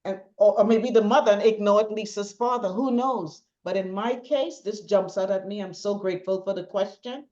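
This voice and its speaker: A middle-aged woman shares personal testimony as a guest in a conversation. She is talkative and emphatic, often circling back to repeat a phrase, at a medium pitch. The audio is dull and lo-fi. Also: moderate pace, hardly any pauses, darkish tone. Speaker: interview guest, bereaved mother.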